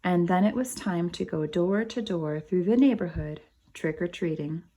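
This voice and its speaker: A woman reading a children's picture book aloud as a teacher, pausing to explain things and ask the children questions. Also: lowish voice, dark tone, rough recording. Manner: explains to young children, asks them questions. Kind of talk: animated storybook read-aloud with asides to children.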